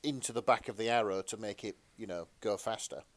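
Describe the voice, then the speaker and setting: A man co-hosting a podcast in casual chat, explaining things in measured, slightly halting phrases. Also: on the low side. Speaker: podcast co-host.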